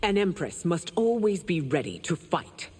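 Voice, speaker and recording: A woman's voice: on the low side, big pitch swings, moderate pace, darkish tone, slightly rough, long reverb tail, faint music behind. An actress playing a proud, stern empress and mother in a video game, speaking formally and imperiously. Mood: solemn. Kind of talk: dramatic video game dialogue.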